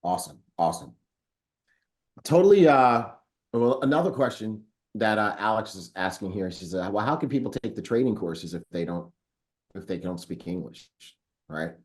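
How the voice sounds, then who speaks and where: A man's voice: on the low side, lively intonation, normal speed. A man hosting a live online training call, upbeat and chatty, reading viewer comments aloud with frequent fillers. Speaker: host of a company training call. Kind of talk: casual live webinar hosting.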